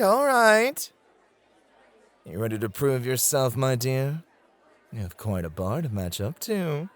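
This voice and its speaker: A man playing a flirty, gentlemanly club host who teases and gently coaches in an ASMR roleplay, with courteous, theatrical phrasing. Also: mid-range voice. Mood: playful, encouraging.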